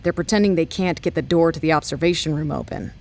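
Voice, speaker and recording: A woman's voice: deep voice, fast talker, a little mellow. A tough, businesslike research chief in an audio drama. She is curt and guarded, with faint noise in the background. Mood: guarded, weary.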